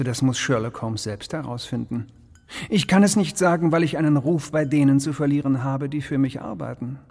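An actor playing a cold, cultured criminal mastermind in a radio drama, speaking with pompous, deliberate precision and calm menace. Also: male voice, mid-range voice, slightly rough, long reverb tail.

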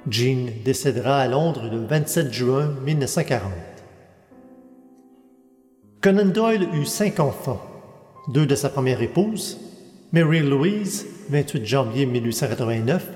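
A man reading a scripted biographical narration in a steady, measured way, working through dates and names. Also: medium pitch. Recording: echoey hall with faint music.

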